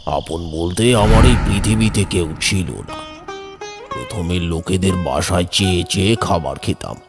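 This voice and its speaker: A man playing a weary middle-aged village tea seller who tells of his hard past in slow, dramatic cartoon storytelling. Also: low-pitched.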